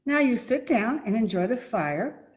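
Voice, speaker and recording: A woman playing a genteel former fiancée in 1940s radio comedy style, coy and gently chiding. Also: on the high side, expressive, moderate pace, natural voice. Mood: hospitable.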